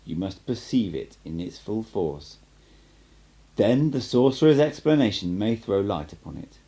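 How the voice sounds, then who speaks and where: An assured male sorcerer mentor from an audiobook, read by a man. He speaks fairly low, with lively rises and falls. His teaching is patient, cryptic and emphatic, delivered in measured phrases. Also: slightly rough, heavily acted. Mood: solemn, emphatic.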